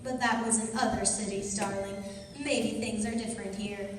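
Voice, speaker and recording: A woman's voice: mid-range voice, fairly even intonation, moderate pace, noisy background, natural voice. A woman playing a coaxing, adoring crook's accomplice, sweet-talking in an old-time radio crime drama. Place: live stage performance in a hall. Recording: very echoey hall, distant mic.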